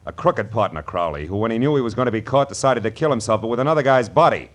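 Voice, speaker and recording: An actor playing a wry, tough private detective in a 1940s radio crime drama, sardonic and hard-boiled. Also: male voice, mid-range voice, lively intonation, fast talker, close to an everyday voice.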